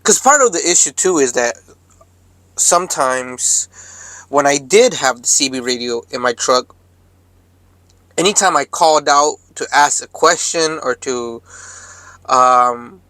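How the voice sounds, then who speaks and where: A man's voice: fairly high pitch, pushed and bright, no echo. A man talking casually to viewers as a truck driver vlogger, in a laid-back, rambling, slangy way. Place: cab of a parked truck.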